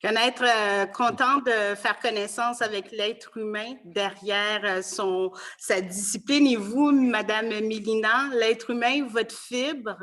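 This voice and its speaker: A woman's voice: a little high, fairly even intonation, takes it slowly, hardly any pauses, a bit bright, no room sound. A woman hosting a panel discussion in a semi-formal, conversational way.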